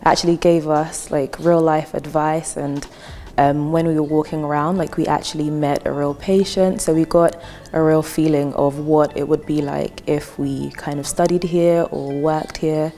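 Young woman, low dark voice, chatty, lapel mic, reverberant hall, faint music. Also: fairly even intonation, moderate pace. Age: 20s. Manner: casual, chatty with fillers. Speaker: student. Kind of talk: testimonial interview. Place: indoor hall with wooden wall.

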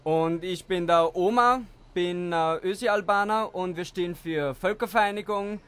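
A man's voice: high-pitched, a little slow, fairly bright tone, smooth. A man playing a brash, chummy buddy in an audio drama, with punchy, matey street talk. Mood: enthusiastic. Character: brash, matey Austrian-Albanian buddy preaching tolerance.

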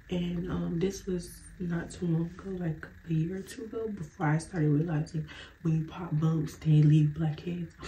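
A woman's voice: lowish voice, mellow, a little hiss or hum, rough recording. A young woman with a stuffy nose, chatting casually to viewers as she gets ready in her bedroom. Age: young adult. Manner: chatty, rambling, addresses viewers as y'all. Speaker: vlogger. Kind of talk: casual get-ready-with-me chat. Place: bedroom at home, indoors.

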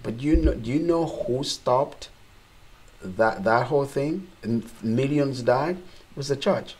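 A man's voice: medium pitch, animated, slow, darkish tone, slightly rough, poor audio. Earnest man from Uganda. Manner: earnest, builds to emphatic. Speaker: missionary guest. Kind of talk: panel discussion. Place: small indoor studio set.

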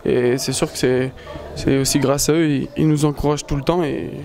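A man's voice: medium pitch, steady tone, brisk, creaky, a little mellow. A man in his twenties, a footballer, talking plainly in a post-match interview into a handheld microphone in a small room. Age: 20s. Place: small indoor press room. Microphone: handheld microphone held by interviewer.

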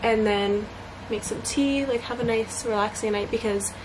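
A bubbly young woman in her twenties, with a somewhat high voice, chats casually about her day to a camera held close. She rambles with lots of fillers. A steady background hiss sits under her voice in a small bedroom. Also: normal speed. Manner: bubbly, chatty, many fillers. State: congested. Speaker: vlogger and college student. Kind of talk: casual vlog chat to camera. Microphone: camera at arm's length.

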